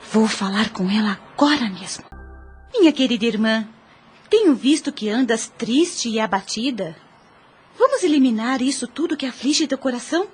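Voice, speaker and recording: An actress plays a caring young married sister in an audio drama. Her voice is high yet husky, with a Brazilian accent and earnest, formal phrasing. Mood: concerned, tender.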